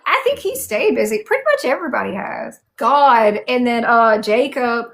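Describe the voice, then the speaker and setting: A woman's voice: fairly high pitch, sing-song, normal speed, gravelly, a bit bright. A woman with a Southern American accent, interviewing in a casual chat with an effusive, colloquial, emphatic manner. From southern United States.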